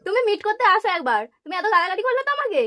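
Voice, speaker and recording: High-pitched, rapid-talking young woman. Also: very animated, pushed and bright. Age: young adult. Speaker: prank caller and host. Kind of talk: casual prank call chat.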